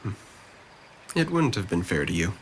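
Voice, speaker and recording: A young man playing a depressed, self-blaming young man in a drama, speaking haltingly and subdued. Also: low-pitched, sing-song, creaky, a little hiss or hum, exaggerated voice. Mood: anxious, resigned. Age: young adult. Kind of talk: naturalistic dramatic dialogue.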